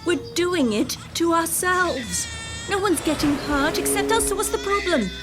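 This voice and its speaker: High-pitched, impatient, domineering wife. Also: female voice, expressive, measured pace, very noisy. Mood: irritated, commanding. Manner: sarcastic, bossy. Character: impatient, domineering wife, sharp-tongued and dismissive. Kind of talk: radio drama acting.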